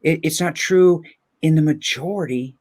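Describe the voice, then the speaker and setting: A man's voice: fairly high pitch, moderate pace, a little mellow, husky. A man speaking reflectively and conversationally, with earnest emphasis and rhetorical questions, as a guest on a livestream.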